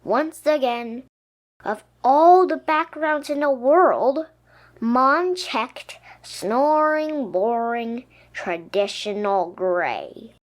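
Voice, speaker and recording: Slow, higher-voiced woman reading a storybook. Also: expressive. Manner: dramatic, with emphatic pauses. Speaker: picture book reader. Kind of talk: expressive children's storybook narration. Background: music.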